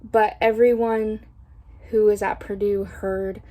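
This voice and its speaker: A young woman from Indiana, a college student, talking through a prepared vlog segment in a steady, thoughtful way. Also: mid-range voice, slow pace. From Indiana, USA. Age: young adult. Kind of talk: scripted vlog talk.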